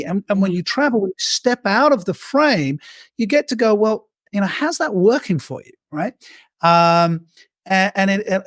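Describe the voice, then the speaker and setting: English-born guy w/ aussie accent on a video call, kinda high voice, dry close sound, chats animated. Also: no room sound. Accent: Australian-English. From England. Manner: animated storyteller, acts out quoted inner dialogue. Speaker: guest speaker on self-leadership. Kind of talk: conversational interview answers. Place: bright room with arched windows, indoors.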